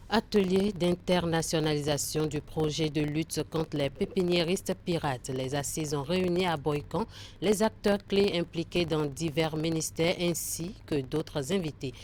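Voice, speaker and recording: A woman's voice: low voice, normal speed, darkish tone, a little hiss or hum. A woman presenting scripted television news.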